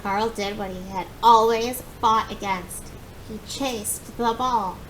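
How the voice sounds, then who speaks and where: A woman reading a children's story aloud in an animated, expressive way, acting out the dialogue. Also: takes it slowly, rough recording. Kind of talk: animated children's book narration. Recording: clear background noise with low rumble.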